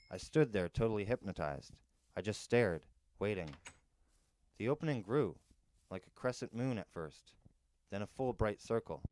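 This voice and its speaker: A man's voice: fairly low pitch, a little mellow. A man reading a novel aloud as a first-person storyteller. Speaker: narrator, podcast storyteller.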